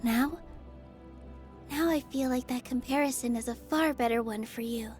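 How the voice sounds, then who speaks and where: Game role: gentle, cheerful young female dancer, played by a woman, high-pitched, earnest, easily flustered, over soft background music. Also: moderate pace, natural voice. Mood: tender, sincere. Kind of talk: video game character voice lines.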